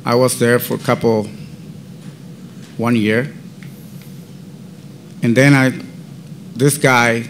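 Male podcast guest, Central American Spanish accent, slow and halting non-native English, mid pitch, earnest testimony. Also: slight background noise. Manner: slow, deliberate. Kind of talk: personal faith testimony storytelling.

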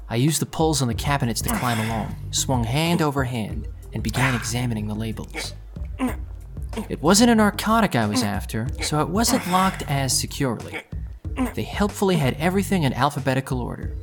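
A man's voice: medium pitch, lightly acted. Determined young thief narrating over music , acted by a man. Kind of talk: audio drama narration and dialogue. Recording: music under the voice.

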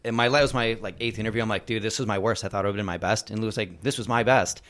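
A man with a low voice, a dentist guest on a podcast. He tells relaxed stories at a fast clip, with casual fillers. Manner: storytelling, relaxed.

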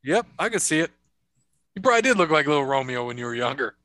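Guy hosting a chat show, rambly storyteller, bright clear voice, dead dry sound. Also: on the high side, big pitch swings, fast, no echo. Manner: rambling storyteller, laughs often. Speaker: podcast host. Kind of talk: casual chat.

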